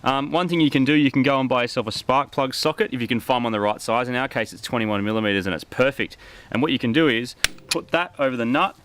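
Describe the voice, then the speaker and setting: A man's voice: medium pitch, fast, fairly bright tone, clear, resonant tone, no room sound. An Australian man explaining car work to camera in a casual, chatty, matey way. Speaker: host of a car modification show.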